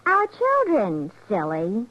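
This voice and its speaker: An actress playing a sweet, coy young American wife in an old radio advert sketch. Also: female voice, mid-range voice, wildly swooping pitch, slow, a bit bright, heavily acted. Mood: coaxing, coy. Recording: dull, noisy vintage radio recording.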